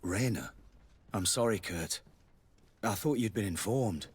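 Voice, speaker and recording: An actor playing a worldly, chatty army quartermaster in a video game, a man with a husky voice. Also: fairly low pitch, expressive, measured pace, slight background noise. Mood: apologetic. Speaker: military quartermaster.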